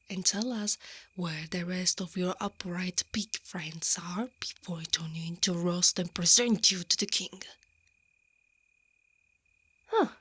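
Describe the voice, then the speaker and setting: A woman playing a brave, sardonic female knight in an ASMR roleplay, breathy and often whispering, muttering dryly to herself. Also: on the low side, fairly bright tone. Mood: threatening. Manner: muttering to herself, dry and sarcastic.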